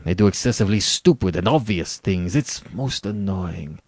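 A male reader performs an aristocratic hunter-general for a storybook. He is suave and falsely courteous, with a leisurely, condescending delivery. His low-set voice rises and dips extravagantly as he savors his phrases. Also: expressive, moderate pace, a little mellow, no echo, studio quality. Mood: scornful, annoyed. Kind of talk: dramatic storybook reading.

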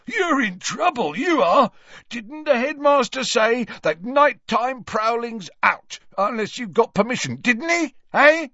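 A man's voice: very high voice, big pitch swings, a little slow, bright, forceful tone, no room sound, highs cut off, pitched above the performer's own voice, exaggerated voice. A man voicing a spiteful old male caretaker in an audiobook, wheezy, gloating and accusing.

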